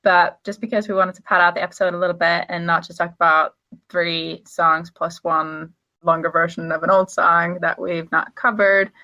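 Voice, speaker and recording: A woman's voice: lowish voice, sharp tone, highs cut off. A woman in her twenties co-hosting a podcast in a casual, chatty, giggly way. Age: 20s. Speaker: podcast co-host.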